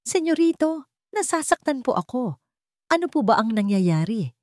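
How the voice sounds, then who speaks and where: A woman's voice: sits high, very animated, normal speed, darkish tone, dry recording, studio quality, voice pushed up. A woman voicing a frightened, deferential housemaid who pleads her innocence in a dramatic audio story. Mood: confused, hurt. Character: frightened, pleading young housemaid wrongly accused.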